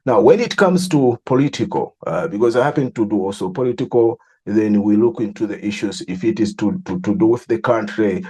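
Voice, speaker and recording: A middle-aged man with a Malawian accent, explaining his work in an interview in a deliberate way, repeating phrases for emphasis. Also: on the low side, warm tone, breathy edge. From southern Malawi. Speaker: religious leader and mediator interviewee.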